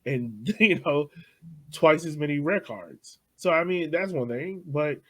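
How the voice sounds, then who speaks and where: A young man co-hosting a casual sports podcast, talking loosely with frequent laughs and soft trailing asides. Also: fairly high pitch, lively intonation, a little slow. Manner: loose and chatty, laughs often, trails off softly. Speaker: podcast co-host.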